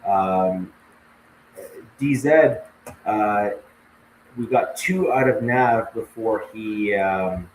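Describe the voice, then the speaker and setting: Deep-voiced Canadian man in an echoey room. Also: a little hiss or hum. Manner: many uh fillers, measured and polite. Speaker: podcast co-host. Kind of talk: casual live-stream chat.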